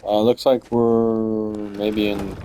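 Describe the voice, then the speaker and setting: A man's voice: fairly low pitch, level tone, slow, mellow, soft background music. A young man casually commenting on a video game as he plays, rambling with lots of hesitant fillers. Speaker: gamer streaming a playthrough.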